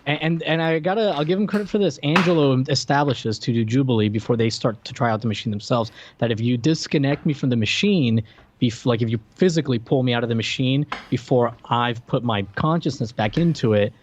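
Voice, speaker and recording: A man's voice: medium pitch, brisk, lo-fi sound, slight background noise. A man co-hosting a casual film discussion, recounting a plot in a rambling, conversational way full of "like". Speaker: podcast co-host.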